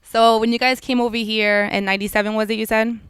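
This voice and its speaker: A woman's voice: fairly high pitch, level tone, fast, fairly bright tone, clean tone, dry recording. A woman interviewer asking casual, chatty questions. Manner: chatty, loose phrasing.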